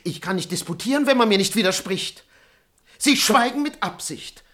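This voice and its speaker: A man's voice: sits high, animated, normal speed, pushed and bright. A man in a German radio play acting a talkative, pious, argumentative manservant with a theatrical, rambling delivery. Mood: excited, lecturing. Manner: theatrical, indignant, rambling, rhetorical.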